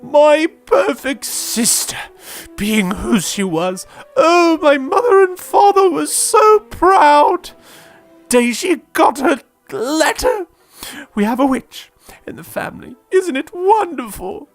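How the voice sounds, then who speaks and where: A man's voice: very high voice, sing-song, slow, faint music behind, voice pushed up, exaggerated voice. A man voicing a bitter, indignant, resentful aunt in a theatrical, melodramatic manner, in a comedy parody. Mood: mocking, bitter.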